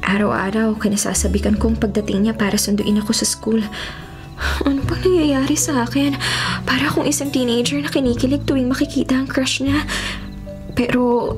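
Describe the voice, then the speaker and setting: An actress playing a feisty, expressive young Filipino college woman, a mayor's bratty daughter, in a radio drama. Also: on the high side, a little fast, lightly acted. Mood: wistful, confiding. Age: young adult. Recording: loud music under the voice.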